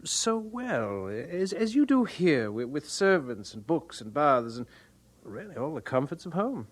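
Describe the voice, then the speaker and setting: An actor playing an earnest, devout young missionary in an audio drama, speaking with halting fervor. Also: male voice, on the high side, very animated. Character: earnest, devout young male missionary, self-deprecating and tender-hearted. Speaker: actor in the lead role.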